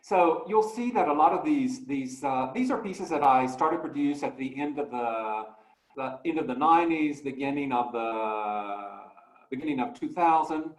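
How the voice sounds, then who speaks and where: A man presenting his artwork in a talk, speaking slowly and reflectively with many fillers and restarts. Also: mid-range voice, lively intonation, echoey room, poor audio. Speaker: guest artist.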